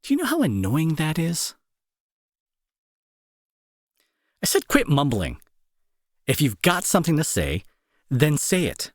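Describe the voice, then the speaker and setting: A man's voice: a little high, wildly swooping pitch, normal speed, dry recording, studio quality. A young man playing an irritable, sarcastic bully who scolds with hidden worry, in an intimate roleplay audio drama. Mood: exasperated, scolding. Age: young adult. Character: irritable young male bully, gruff but secretly worried.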